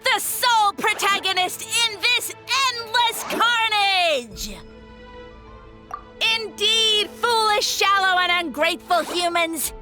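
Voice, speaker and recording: A woman's voice: extremely high pitch, bright, forceful tone, heavily acted. A woman voicing a haughty, scheming mushroom villain who poses as a god, pompous and theatrical, in a video game. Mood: grandiose, scornful. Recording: music behind the voice.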